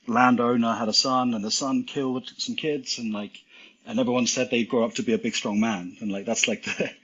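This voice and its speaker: A man from Iceland chats loosely as a guest, circling his points with plenty of fillers and restarts. His voice is somewhat low and husky. It comes through a remote call with dull, lo-fi audio. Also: fairly bright tone. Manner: rambling, many fillers and restarts. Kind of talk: casual interview chat.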